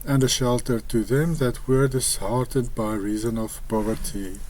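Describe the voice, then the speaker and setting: A man with an Afrikaans accent, a native Afrikaans speaker, reading scripture aloud and explaining it in a hesitant, halting way with frequent pauses. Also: medium pitch, fairly even intonation, a little mellow, slight background noise, rough recording. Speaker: online Bible commentator.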